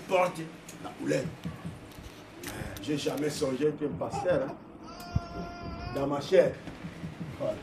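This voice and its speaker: A man delivers an impassioned sermon, his voice sitting extremely high for a man. He shouts and hammers phrases over and over. The sound is echoey and distant, with noise underneath. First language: Portuguese. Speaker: pastor. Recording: echoey room, distant mic, background noise.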